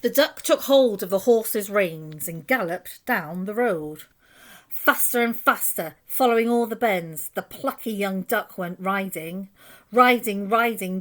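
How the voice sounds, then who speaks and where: A woman reading a rhyming children's picture book aloud as an expressive storyteller. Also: mid-range voice, unhurried.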